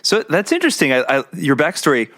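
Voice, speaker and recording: A man's voice: fairly high pitch, huge pitch swings, quick pace, a bit bright. A man hosting a podcast, talking casually and reacting with enthusiasm, while losing his voice. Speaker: podcast host. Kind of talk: casual conversational interview.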